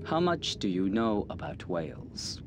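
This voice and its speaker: A middle-aged intelligence colonel in a video game. His low voice moves with lively inflection, formal and measured, as he makes guarded, grave speeches over clearly noisy ambience. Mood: grave, persuasive. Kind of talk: dramatic video game dialogue.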